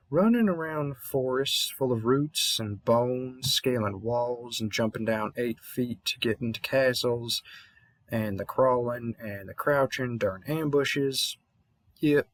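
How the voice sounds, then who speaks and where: A man's voice: mid-range voice, no echo, natural voice. A man playing a weary, kindly veteran vampire hunter in a roleplay audio drama, with a Southern US accent and a rambling, slightly mumbled, reassuring manner. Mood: wry, tired.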